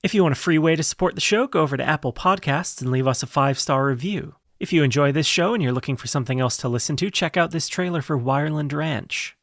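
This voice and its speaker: A man's voice: a little high, fast, few pauses, a bit bright, no echo. A man, the show's creator, reading scripted credits and announcements in a friendly, informal way. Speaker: podcast creator.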